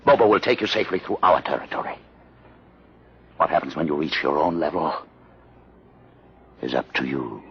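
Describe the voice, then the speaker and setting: An actor playing a grave, learned leader of outcasts in a solemn, measured 1950s radio drama style. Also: male voice, extremely low pitch, lively intonation, noisy background. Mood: measured, guarded. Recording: dull lo-fi old radio recording.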